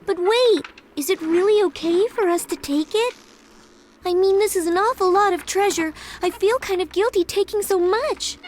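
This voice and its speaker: An actress voicing a gentle, sincere young woman in a game cutscene, soft-spoken and a little hesitant. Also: very high voice, normal speed, slight background noise. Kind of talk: video game cutscene dubbing.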